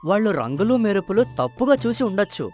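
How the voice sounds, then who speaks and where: A man's voice: high voice, sing-song, fast talker, darkish tone, soft background music. A man playing deceitful palace men, a prince and a guard, in a folk tale drama, insistent but uneasy. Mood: nervous, defensive. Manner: insistent, faltering when challenged. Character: scheming young prince and lying, nervous palace guard.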